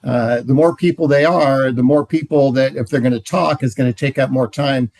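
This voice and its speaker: A man leading a marriage ministry, explaining in a plain, earnest way with many pauses and "uh" fillers. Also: mid-range voice, steady tone, gravelly, a little mellow, dry recording. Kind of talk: training session talk.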